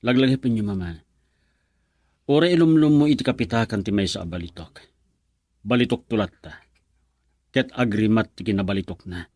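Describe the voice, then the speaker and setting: Earnest young lover, played by a man, low and dry, radio drama. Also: a little fast, long pauses, a little mellow, no room sound, natural voice. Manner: earnest, pleading with his mother. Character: young man chasing a mysterious bar girl. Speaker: actor.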